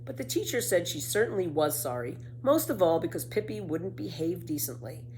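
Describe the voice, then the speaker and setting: A woman reading a children's story aloud as narrator, in an expressive way. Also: on the low side, brisk, a little hiss or hum. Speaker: storybook reader.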